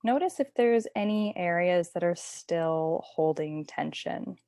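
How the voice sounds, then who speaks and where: Woman, yoga nidra teacher, slightly low pitch, very dry close sound, soothing guided relaxation, gently instructive. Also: animated, measured pace, no echo.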